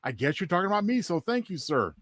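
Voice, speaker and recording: A man's voice: high-pitched, sing-song, fast, fairly bright tone, no room sound. A man co-hosting a casual live show, courteous and self-deprecating as he talks. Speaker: co-host.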